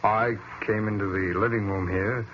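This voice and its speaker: Guarded gentleman on muffled old radio, acted by a man. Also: fairly low pitch, fairly even intonation, moderate pace, close to an everyday voice. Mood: guarded, subdued. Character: dead man's nephew, a guarded, polite gentleman. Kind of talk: old-time radio drama acting. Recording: muffled, hissy vintage radio recording.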